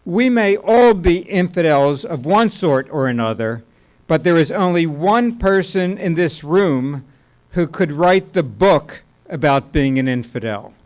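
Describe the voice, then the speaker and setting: Man, formal introduction, very slow, deliberate, slightly high voice. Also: expressive, a little mellow.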